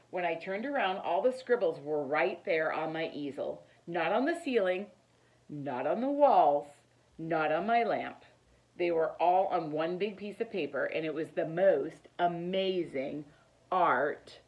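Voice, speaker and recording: A middle-aged woman reads a children's picture book aloud in an expressive, deliberate storytelling manner. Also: fairly low pitch, dull audio. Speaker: teacher reading aloud. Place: living room, indoors.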